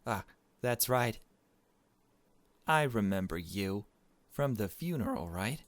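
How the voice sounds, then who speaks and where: Man voicing a courteous, genteel gentleman. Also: on the low side, expressive, slow pace, dry recording. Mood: polite, friendly. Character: polite, genteel, slightly awkward man in a library. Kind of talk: visual novel character read-aloud.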